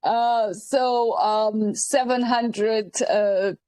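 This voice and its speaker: A woman from Portugal leads a casual group call over a video link. She talks slowly and haltingly, with lots of fillers and restarts. Her voice is mid-pitched, and the sound is dry and close. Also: fairly even intonation, dark tone, no echo, low-quality recording. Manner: hesitant, many fillers and restarts. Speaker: channel host leading a group call.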